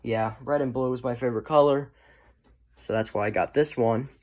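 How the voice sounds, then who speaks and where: A man's voice: mid-range voice, steady tone, moderate pace, a little mellow. Casual rambling man showing off his collection. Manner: rambling, trailing off. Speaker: hobbyist collector presenting a video. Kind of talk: casual show-and-tell chat.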